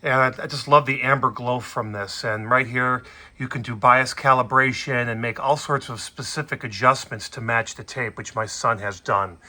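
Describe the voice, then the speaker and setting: Man, middle-aged, bright tone, casual gear demo, conversational, enthusiastic hobbyist host. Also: medium pitch. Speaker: hobbyist host demonstrating vintage audio gear. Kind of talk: casual product demo talk.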